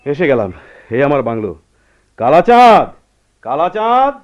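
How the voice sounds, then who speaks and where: An actor playing a jovial, easygoing young forest officer in a radio drama, with a breezy, expressive delivery. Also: male voice, on the high side, measured pace. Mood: hearty. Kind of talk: Bengali radio drama acting. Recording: muffled old radio drama recording, background noise.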